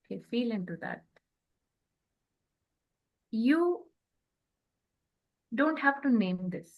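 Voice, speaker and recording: A woman co-hosting a spiritual wellness talk, instructing her audience in short, deliberate phrases and often checking for assent. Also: a little high, animated, muffled recording. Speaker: co-host of a spiritual wellness show.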